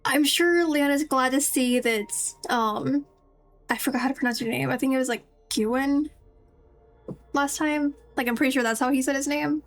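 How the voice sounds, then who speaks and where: A woman's voice: sits high, moderate pace. A woman streamer giving casual, chatty, musing commentary on a game with its music behind her.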